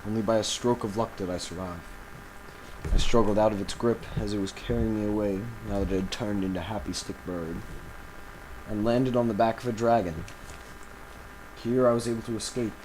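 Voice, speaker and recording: A man playing an embattled villain recording a grave confessional audio log, speaking haltingly and solemnly with dramatic pauses. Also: fairly low pitch, fairly even intonation, a little mellow, slight background noise, close to an everyday voice, rough recording. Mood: bitter regret.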